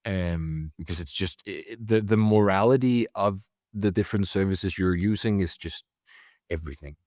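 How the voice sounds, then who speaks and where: A man's voice: deep voice, moderate pace, a little mellow, dry recording, clean studio sound. A young man with a Danish accent, speaking as a guest in a conversational interview, with frequent hesitations and restarts. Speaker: guest, company founder.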